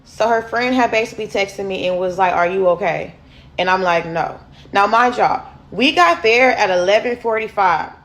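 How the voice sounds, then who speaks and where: A deep-voiced woman, candidly telling viewers a personal story in a casual storytime vlog. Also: expressive, moderate pace, fairly bright tone. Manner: candid, chatty, addresses viewers as y'all. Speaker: storyteller host.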